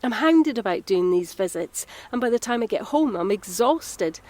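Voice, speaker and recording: A woman's voice: on the high side, animated, moderate pace, darkish tone, dry recording, close to an everyday voice. Woman acting a harried, guilt-ridden Scottish mum. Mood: anxious, confiding. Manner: confiding, self-reproachful. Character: harried, guilt-ridden mother of a nine-year-old boy. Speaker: actor in an audio drama. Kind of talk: naturalistic audio drama dialogue.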